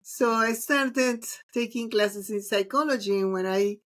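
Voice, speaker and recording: A woman's voice: on the high side, measured pace, a bit bright. A middle-aged woman from Venezuela, a psychologist and mindfulness teacher, talking reflectively with frequent ums as a guest on a video call interview. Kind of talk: casual interview conversation.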